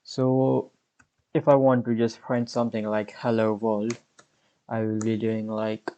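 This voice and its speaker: Slow, mellow man explaining code. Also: lowish voice, little pitch movement, clean tone. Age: 20s. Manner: unhurried, explains step by step while typing. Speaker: coding tutorial host. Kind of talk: screen-recorded programming tutorial.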